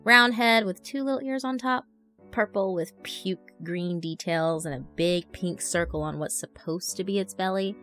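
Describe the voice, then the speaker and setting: A woman narrating a horror story as a young female blogger in her twenties, conversational, wry and expressive, telling of being haunted. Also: on the low side. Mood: chatty, uneasy. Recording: music under the voice. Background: background music.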